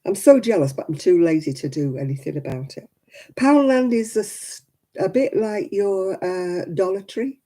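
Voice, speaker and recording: A woman in her seventies hosting a crafting livestream, chatting homely and warmly with viewers by name and laughing often. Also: mid-range voice, expressive, normal speed. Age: 70s. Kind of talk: casual chat reading out viewer comments.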